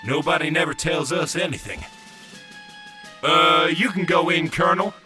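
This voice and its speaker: Man acting bright-voiced rustic soldier ghost. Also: fairly high pitch, normal speed, soft background music. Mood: unsure. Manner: folksy, ungrammatical, wary. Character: suspicious, rustic ghost soldier on sentry duty. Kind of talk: full-cast audio drama acting.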